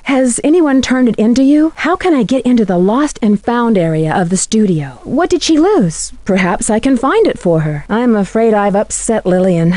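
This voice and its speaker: A woman's voice: fairly high pitch, lively intonation, moderate pace, few pauses, dark tone, no room sound, lightly acted. A woman playing a curious, polite young amateur detective in scripted video game dialogue, earnest and inquisitive in manner.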